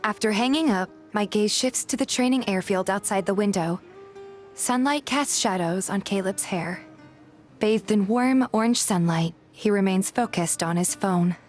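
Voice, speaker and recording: A woman's voice: medium pitch, moderate pace, faint music behind. A woman reading a scripted first-person story as narrator and voice actor in a fan dub.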